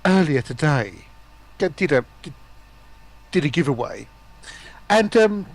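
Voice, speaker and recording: A man's voice: a little high, animated, unhurried. A man joining a live stream as a guest, chatting in casual banter.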